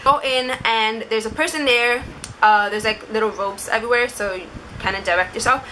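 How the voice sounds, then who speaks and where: A woman's voice: a little high, fairly quick, pushed and bright, a little hiss or hum, poor audio. A young woman telling a story and giving advice in a casual, chatty vlog style, saying "like" constantly. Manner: chatty, informal, uses "like" constantly. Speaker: vlogger.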